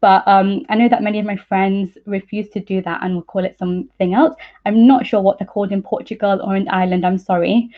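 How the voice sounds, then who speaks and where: A woman's voice: mid-range voice, steady tone, brisk, few pauses. A young woman, giving an informal science talk as a PhD student in a slightly hesitant, explanatory way. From East Midlands, England. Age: young adult. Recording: muffled narrow-band online call audio.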